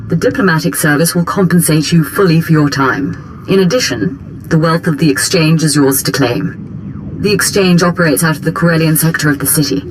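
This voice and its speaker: A woman playing a smooth, ambitious imperial diplomatic operative in a video game, speaking in formal, polished, deferential phrases. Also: sits low, fairly quick, slight background noise, close to an everyday voice, poor audio. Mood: courteous, pleased. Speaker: imperial special operations chief. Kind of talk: dramatic video game dialogue.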